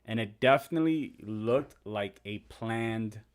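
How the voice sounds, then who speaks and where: A man's voice: fairly low pitch, a little slow, clear, resonant tone. A young man whose first language is Spanish, hosting a casual live stream, reading viewer comments and talking through trading charts. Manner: chatty, reads and answers viewer comments.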